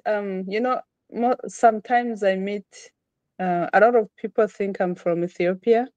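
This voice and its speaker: A woman's voice: medium pitch, steady tone, a little slow, a little mellow, dry recording, highs cut off. A woman from Rwanda with a Rwandan accent, talking casually as an interview guest and repeating phrases for emphasis. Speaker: podcast guest.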